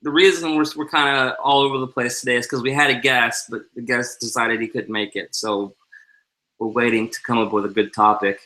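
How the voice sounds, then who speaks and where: A man's voice: medium pitch, fairly even intonation, fairly quick, pushed and bright, slight background noise, rough recording. A tired man co-hosting a loose, joking live-stream talk show from home over a video call on a headset. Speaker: co-host. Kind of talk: casual live-stream chat.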